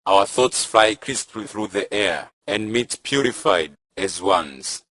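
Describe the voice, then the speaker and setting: A man's voice: fairly low pitch, lively intonation, slow, fairly bright tone, dry recording, full character voice. A young man acting an aging, proud and sly village chief in a stage play, speaking in stately, poetic phrases. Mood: persuasive. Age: young adult. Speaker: student actor. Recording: music playing behind the voice.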